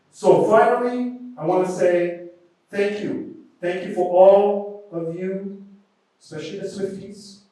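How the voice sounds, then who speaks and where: A man's voice: on the high side, dark tone. A man, a community organizer, giving rallying closing remarks at a public event. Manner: rallying, speaks with emphatic public-speaking cadence. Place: large event hall. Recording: echoey hall, voice picked up from a distance.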